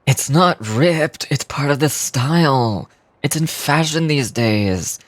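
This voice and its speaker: A woman voicing an earnest, very polite young boy in a video game, eager to be cool and a little halting. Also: very deep voice, animated, no room sound, voice pushed down, exaggerated voice. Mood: earnest, defensive.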